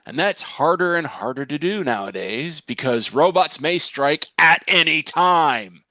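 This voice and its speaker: A man acts out an older celebrity spokesman in a mock TV commercial, grave and earnest. His slow delivery swings dramatically in pitch as he warns and reassures, recorded close and dry. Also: animated, pushed and bright, dry recording, full character voice. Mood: grave, ominous. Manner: grave, deliberate sales pitch with dramatic emphasis. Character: earnest, ominous older TV actor as insurance spokesman. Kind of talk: mock television commercial read.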